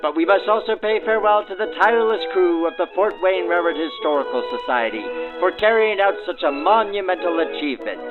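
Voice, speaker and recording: A man's voice: high voice, phone-quality sound. A man hosting in the style of 1940s radio, narrating and interviewing through a vintage radio filter. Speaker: host and interviewer. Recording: thin old-time radio sound, music behind.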